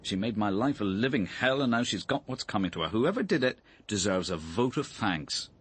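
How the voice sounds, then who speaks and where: A man's voice: lowish voice, animated, fairly quick, a bit bright, slightly rough. An actor playing a bitter, defiant father under police questioning in a radio drama, curt and brusque. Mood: bitter defiance.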